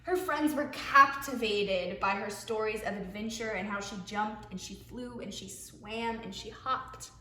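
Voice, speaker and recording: A woman, a preschool teacher, reading a picture book aloud to young children in an expressive way, with hushed asides. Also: mid-range voice, a little slow, hardly any pauses. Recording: echoey room.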